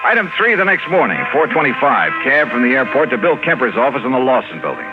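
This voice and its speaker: An actor playing a brisk, wry insurance investigator in a 1950s radio detective drama, with crisp, punchy delivery. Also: male voice, medium pitch, big pitch swings, close to an everyday voice. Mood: brisk narrating. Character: brisk, confident male freelance insurance investigator, wry and loyal. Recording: tinny vintage radio recording, music behind.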